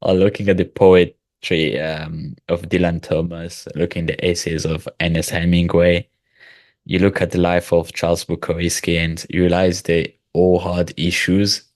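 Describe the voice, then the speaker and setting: A man with the flu hosting a casual video call, relaxed and given to laughing and asking thoughtful questions. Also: deep voice, a little slow, no room sound. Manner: relaxed, laughs often, asks reflective questions. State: sick with flu. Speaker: host.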